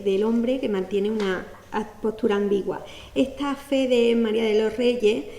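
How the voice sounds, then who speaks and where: A woman's voice: mid-range voice, mellow. A woman with an Andalusian Spanish accent presenting a poetry book in an explanatory, academic manner, with many fillers. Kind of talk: academic talk at a book launch. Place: lecture hall. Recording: echoey hall, faint noise.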